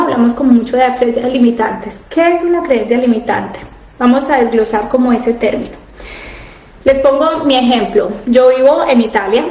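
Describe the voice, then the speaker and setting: A woman's voice: fairly high pitch, darkish tone, lo-fi sound, slight background noise. Earnest woman coach in an echoey room. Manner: earnest, asks the audience direct questions. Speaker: coach on emotional eating, live stream host. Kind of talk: casual live-stream talk to women. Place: home, living abroad.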